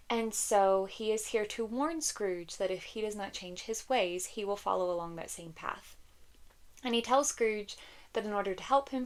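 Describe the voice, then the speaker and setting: A woman talking casually about books she loves, a little congested and croaky from a cold, chatty and giggly with frequent ums. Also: mid-range voice. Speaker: book vlogger. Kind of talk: casual book recommendations.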